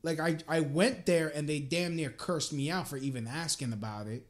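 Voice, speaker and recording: A man's voice: on the high side, normal speed. A man hosting a live prank call show in casual chat, often putting on earnest politeness.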